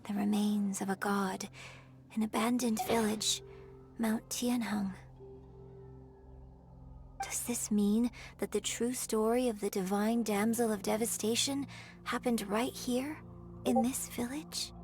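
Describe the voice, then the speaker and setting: A woman's voice: a little high, fairly even intonation, slow pace, long pauses, a little mellow, soft background music. A woman playing an earnest, reflective young woman in a video game, musing aloud as she works things out. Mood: curious. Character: thoughtful, earnest young opera performer piecing together clues.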